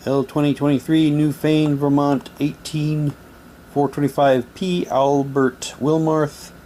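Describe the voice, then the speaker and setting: A man's voice: on the high side, little pitch movement, takes it slowly, warm tone. Man in casual unboxing talk, noisy recording. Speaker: hobby vlogger unboxing audio drama sets. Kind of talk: casual unboxing talk, reading printed props aloud.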